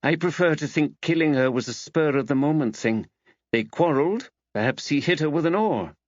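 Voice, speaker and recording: A male audiobook reader performs a thoughtful, easily dismayed woman, her voice raised and expressive. She speaks earnestly, in a close, dry recording that sounds slightly dull. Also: on the high side, moderate pace, dry recording, voice pushed up, lightly acted. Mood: earnest, pensive. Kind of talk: audiobook character dialogue.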